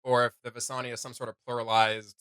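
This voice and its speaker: Casual male reaction host, mid-pitched, rambling and keen, recorded dry. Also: flat delivery, fast, a bit bright, smooth, no room sound. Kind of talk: casual fan commentary.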